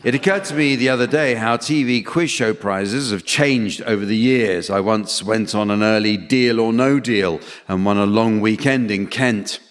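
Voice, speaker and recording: A man's voice: on the low side, moderate pace, hardly any pauses. A man chairing a comedy panel game, delivering scripted comic hosting on stage. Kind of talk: scripted comic hosting before a theatre audience. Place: theatre stage with audience. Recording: live theatre reverb, audience sound.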